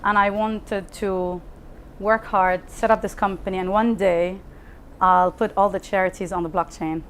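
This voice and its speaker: A woman's voice: medium pitch, steady tone, unhurried, fairly bright tone. A woman shares personal stories as a panel guest. She is candid and chatty, with a mid-range voice. There is faint background noise from a live event. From Lebanon. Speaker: panel guest, fintech founder. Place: live talk event before an audience.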